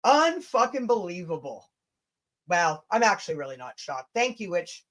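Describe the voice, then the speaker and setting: A woman's voice: fairly low pitch, very animated, moderate pace, fairly bright tone. Blunt, sweary woman livestreamer. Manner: informal, chatty asides to viewers. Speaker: livestream host. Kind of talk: casual rant to livestream viewers.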